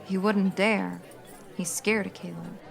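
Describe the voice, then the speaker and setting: Female actor as put-upon Old West saloon woman, American. Medium pitch, matter-of-fact, soft music underneath, audio drama. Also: fairly even intonation, measured pace, vocal fry, a faint crowd. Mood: frank. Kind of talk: radio western acting.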